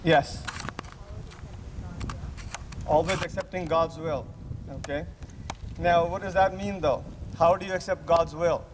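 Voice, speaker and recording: Male physician as lecturer, slightly high voice, halting delivery with restarts, long hall reverb, faint hiss. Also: steady tone. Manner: hesitant, many restarts, repeats audience answers. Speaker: physician giving a lecture at a camp. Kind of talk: interactive lecture with audience questions.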